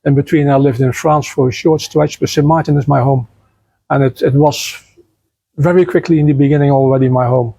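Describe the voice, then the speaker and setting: A man from the Netherlands, a native Dutch speaker, answering interview questions as head of a nature foundation, calm and measured. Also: medium pitch, vocal fry, slightly rough, no room sound, low-quality recording. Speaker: guest, nature foundation president. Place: outdoors by the beach.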